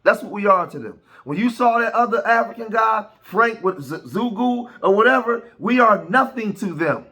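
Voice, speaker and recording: A man's voice: high-pitched, expressive, moderate pace, hardly any pauses, sharp tone. An American man giving emphatic reaction commentary, often asking "right?" and repeating himself. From United States.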